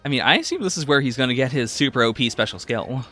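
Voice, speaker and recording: Male podcast co-host: animated intonation, forward bright tone, casual and measured critique. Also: mid-range voice, faint music behind. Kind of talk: casual reaction commentary on anime.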